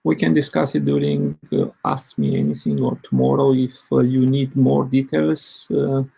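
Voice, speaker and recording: Brief: man in his forties from Romania, husky and halting, presenting at a tech conference. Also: mid-range voice, expressive, warm tone, low-quality recording. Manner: hesitant, many fillers. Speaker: open source project lead presenting. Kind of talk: conference talk.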